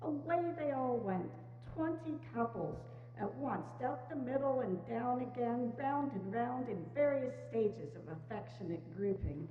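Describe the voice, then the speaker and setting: High-voiced woman narrator in an echoing hall. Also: expressive, a little slow, hardly any pauses. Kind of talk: scripted story narration at a dramatic reading. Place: church hall, dramatic reading before microphones. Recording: dull, noisy, echoing church hall.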